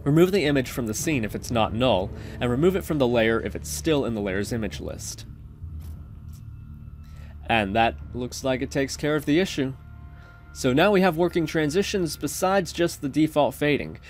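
A man's voice: medium pitch, lively intonation, moderate pace, faint music behind. A man hosting a casual coding tutorial, talking through each step in an informal, chatty way. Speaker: tutorial host. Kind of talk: casual screen-recorded coding tutorial narration.

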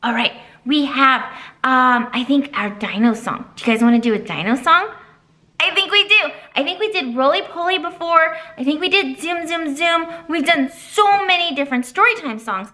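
A woman's voice: high-pitched, moderate pace. A woman in her thirties, a children's librarian, leading a storytime with songs and a picture book, gasping and gesturing with big expression, recorded on a webcam in a small library room. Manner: animated, exaggerated gasps and expressive gestures. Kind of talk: children's storytime reading and singing.

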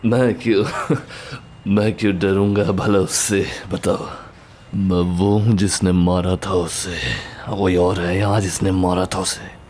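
Man acting slow, slurring washed-up thunder god. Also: deep voice, little pitch movement, darkish tone. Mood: wounded, defensive. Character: washed-up boozy thunder god, slobbish, boastful but wounded. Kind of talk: amateur Hindi film fandub acting. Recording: clear background noise with film ambience.

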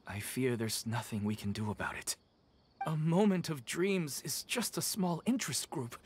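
A man's voice: mid-range voice, a little hiss or hum, close to an everyday voice. An actor playing an anxious, deferential young male villager in a video game, apologetic and worried.

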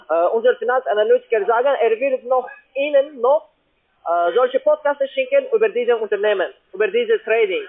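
A man with a foreign accent, not a native German speaker, making a halting, repetitive, insistent telephone sales pitch. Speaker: call-centre sales caller. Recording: muffled, narrow phone line.

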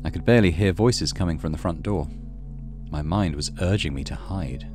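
A man's voice: deep voice, moderate pace, music in the background. A man reading a horror visual novel aloud as a dramatic narrator for a let's play.

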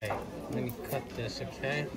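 A man's voice: mid-range voice, fairly quick. A middle-aged man chatting casually for a family vlog, narrating small actions in a laid-back, folksy way. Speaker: vlogger dad filming his family. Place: busy indoor chain diner. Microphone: handheld camera, operator behind it. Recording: loud music and restaurant crowd din.

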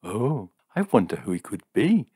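A man's voice: on the high side, big pitch swings, moderate pace, vocal fry, slightly rough, no room sound, clean studio sound, pitched above the performer's own voice, heavily acted. A man voicing a naive, coquettish woman in a story reading, eager and coy. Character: joyous, naive, coquettish woman, defending her friend.

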